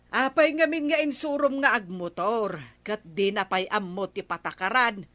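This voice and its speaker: A woman's voice: mid-range voice, normal speed, a bit bright, no echo, close to an everyday voice. An actress with an Ilocano accent playing a scolding, emphatic village woman in a radio comedy drama. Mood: admonishing.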